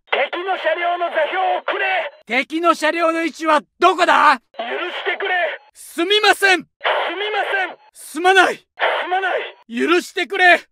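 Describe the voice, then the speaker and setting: A young infantry soldier in a war game, shouting short clipped orders. The voice sits very high and bright, and every line comes slow and deliberate through a radio-like filter. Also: male voice, no echo, full character voice. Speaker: soldier voice lines. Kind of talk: barked game voice lines.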